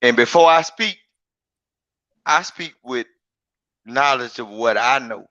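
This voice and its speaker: A man's voice: mid-range voice, slow pace, sharp tone, no room sound, muffled recording. A man with a big voice, talking as a guest in a casual live-stream chat, rambling and emphatic, often repeating himself.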